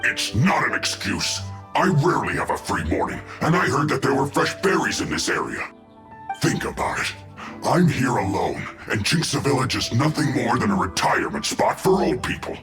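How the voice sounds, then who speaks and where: Whiny, indignant enemy soldier for a game. Echoey sound, music behind, exasperated delivery. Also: male voice, mid-range voice, sing-song, normal speed, a bit bright. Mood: defensive. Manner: protesting, exasperated. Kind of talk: video game voice acting.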